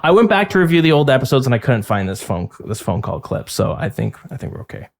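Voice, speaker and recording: A man hosting a podcast in casual chat, his voice a bit hoarse from getting sick, rambling with many fillers and restarts. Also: mid-range voice, sing-song, fast. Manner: rambling, filler-heavy, self-interrupting. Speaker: podcast host.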